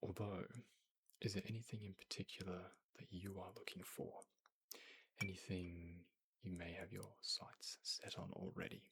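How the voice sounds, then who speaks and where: A man playing a courteous, attentive boutique tailor in an ASMR roleplay, murmuring and whispering gently, politely and without hurry. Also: low voice, flat delivery, normal speed, full character voice. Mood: gentle, attentive. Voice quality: breathy, whispery. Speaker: ASMR performer.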